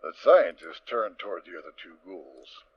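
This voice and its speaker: Animated male story narrator. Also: low voice, normal speed, highs cut off, slight background noise.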